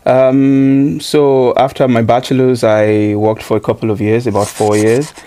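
A man's voice: mid-range voice, flat delivery, measured pace, mellow. A man, answering interview questions thoughtfully, with many ums and an easy laugh. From Nigeria. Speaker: interview guest, student.

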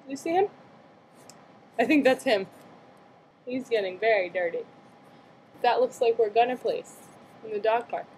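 High-voiced playful young woman, storytime. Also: expressive, normal speed, pauses often, darkish tone, slight background noise, low-quality recording. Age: young adult. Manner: playful, child-directed, asking questions and exclaiming. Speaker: aunt reading a picture book to young relatives. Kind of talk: read-aloud storytime with playful asides. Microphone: computer webcam mic at arm's length.

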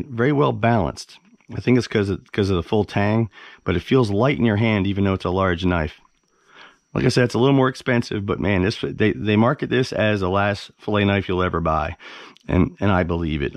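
Very deep-voiced man casually reviewing gear. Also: dry recording. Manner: enthusiastic, plain-spoken, repeats words for emphasis. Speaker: host of a fishing gear review channel. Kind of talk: casual, unscripted product review. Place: carpeted living room at home, indoors.

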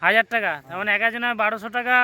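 A man talks quickly in a high, piercingly bright voice. He gives excited, casual running commentary out in the open, addressing his viewers directly like a vlogger. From West Bengal, India. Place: outdoors beside a pond.

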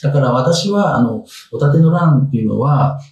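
Male guest expert, 60s, hesitant explanatory talk, animated intonation, guitar music underneath. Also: mid-range voice, lo-fi sound. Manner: halting, filler-heavy explaining in short fragments. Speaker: guest expert on samurai history. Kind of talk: informal explanatory interview talk. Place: small indoor room. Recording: roomy, distant mic, background guitar music.

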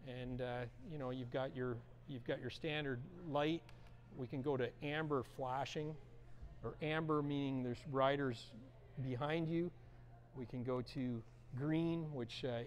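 A middle-aged man giving a relaxed, chatty walkthrough of snowmobiles in a large indoor showroom, filming on a handheld action camera and filling pauses with uh and um. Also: medium pitch. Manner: relaxed, chatty, lots of uh and um fillers. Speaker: vlogger touring a snowmobile dealership. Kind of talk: casual walkthrough presenting.

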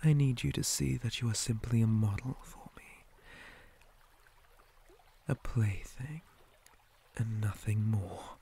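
Slow, whispering male spider monster, acted by a man. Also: on the low side, dark tone, slight background noise. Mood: controlling, haughty. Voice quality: breathy, mostly whispered. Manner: slow, deliberate, theatrical menace. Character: possessive, menacing male spider monster captor. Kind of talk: ASMR roleplay, whispered intimate monologue.

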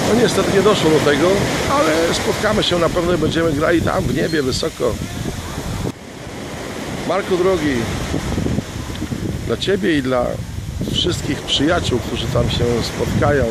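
Middle-aged man over loud surf and wind. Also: medium pitch. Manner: affectionate, reflective. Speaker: friend sending a video birthday tribute. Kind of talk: informal heartfelt spoken message to camera. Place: outdoors on a sea beach. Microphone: phone or camera at arm's length.

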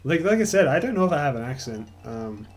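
A man's voice: fairly high pitch, very animated, fast talker, faint music behind. A young Australian man with an Australian accent commentating casually over gameplay, rambling and swearing freely. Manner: rambling, swears a lot, self-mocking. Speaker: let's play host.

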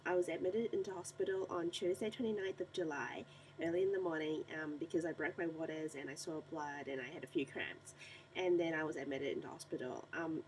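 A young woman with a croaky voice from a recent flu, chatting casually to a webcam in her bedroom with lots of ums. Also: fairly low pitch, a little hiss or hum, low-quality recording. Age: young adult. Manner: chatty and rambling, many ums. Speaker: beauty vlogger. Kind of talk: casual unboxing vlog to camera. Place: small bedroom indoors. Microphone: webcam at desk distance.

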